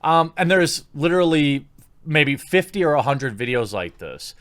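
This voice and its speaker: A man's voice: fairly high pitch, big pitch swings, normal speed, fairly bright tone, no room sound. A man giving casual, opinionated online commentary in a critical, sarcastic manner, recorded on a close mic. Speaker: YouTube commentator.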